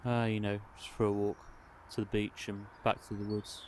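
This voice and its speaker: An actor playing an apologetic, evasive young student brother in naturalistic film dialogue, speaking in a subdued way. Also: male voice, fairly low pitch, flat delivery, normal speed, close to an everyday voice. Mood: subdued, defensive. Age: young adult. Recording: quiet outdoor location sound with background noise.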